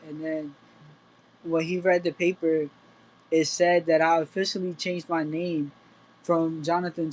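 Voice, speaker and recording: A man's voice: on the high side, darkish tone, rough recording. A young man from Puerto Rico and the United States, a boxer giving casual, plain-spoken interview answers. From Puerto Rico until age eight, then the United States. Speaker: professional boxer, interview guest.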